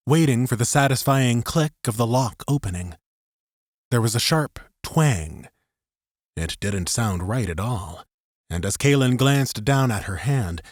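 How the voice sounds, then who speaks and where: A man's voice: lowish voice, no room sound, studio quality. A man narrating a scripted third-person story as an audiobook narrator.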